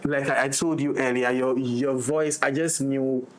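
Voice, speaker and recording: A man's voice: medium pitch, steady tone, measured pace. Reflective man in casual discussion. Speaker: audio drama director.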